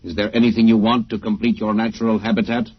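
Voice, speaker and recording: A man's voice: lowish voice, little pitch movement, quick pace, breathy edge, dry recording. A man acting a cold, literal-minded alien conqueror in an old-time radio drama, speaking in stilted, formal, emotionless phrases. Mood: cold, matter-of-fact. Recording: dull, muffled old radio recording.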